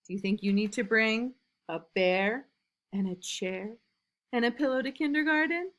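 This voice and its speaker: A woman's voice: fairly high pitch, normal speed, fairly bright tone. A woman kindergarten teacher chatting with young children in simple, encouraging words and asking them questions. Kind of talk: read-aloud and chat addressed to young children. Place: indoors in a classroom.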